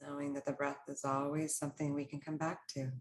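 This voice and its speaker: A woman leading a guided meditation, speaking in short, soothing, instructional phrases with unhurried pauses. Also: deep voice, level tone, a little hiss or hum.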